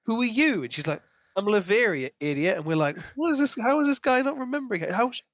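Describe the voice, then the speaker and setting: A man's voice: high voice, expressive, fast, fairly bright tone, dry recording, clean studio sound. A man co-hosting a podcast in casual book discussion, acting out reactions as he retells. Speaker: podcast co-host.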